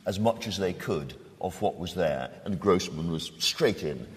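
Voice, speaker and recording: Low male panel chair, reverberant hall. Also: animated, measured pace. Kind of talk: moderating literary discussion.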